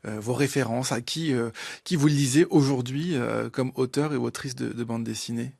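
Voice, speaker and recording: A man's voice: medium pitch, vocal fry, a little mellow, husky, dry recording, clean studio sound. A man hosting a radio interview, asking long questions and repeating words as he forms them. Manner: halting, repeats words while forming questions. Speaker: radio host.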